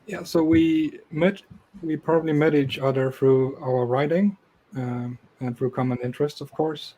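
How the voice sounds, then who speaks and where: Flat-voiced man speaking matter-of-factly. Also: fairly high pitch, measured pace, low-quality recording. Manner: even, matter-of-fact, with fillers. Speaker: guest developer. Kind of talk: casual interview talk.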